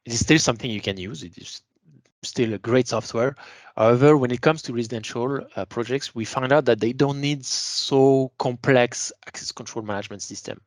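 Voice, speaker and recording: A man's voice: medium pitch, normal speed, dry recording. A man giving an explanatory product webinar as a sales presenter, talking informally and stressing his key words.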